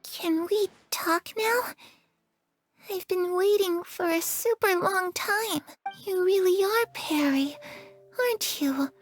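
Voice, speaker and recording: Super high-pitched girl ghost, chatty and curious, talks slow, soft game music behind. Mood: curious cheerful. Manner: bubbly, curious, teasing. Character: cheerful, chatty dead girl's spirit. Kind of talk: game cutscene acting.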